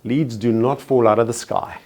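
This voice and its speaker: A man's voice: medium pitch, fairly even intonation, darkish tone. A man with a South African accent giving a motivational sales training talk to real estate agents, stressing his points in short punchy contrasts. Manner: emphatic, punchy paired contrasts, slows on key words.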